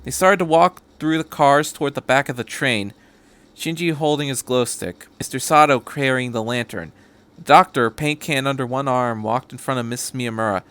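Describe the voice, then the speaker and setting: Narrator: male podcast reader, medium pitch, scripted storytelling. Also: moderate pace, a bit bright, clear, resonant tone. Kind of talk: scripted narration of fan fiction.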